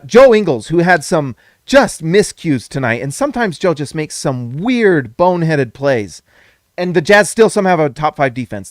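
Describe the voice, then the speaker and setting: An excitable man talking through a basketball game reaction, with a voice pitched a little high. He repeats his points for emphasis and corrects himself on the fly. His voice is getting hoarse, and it sits close and dry with no room around it. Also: no echo. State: losing his voice. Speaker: sports channel host. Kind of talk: casual postgame basketball reaction.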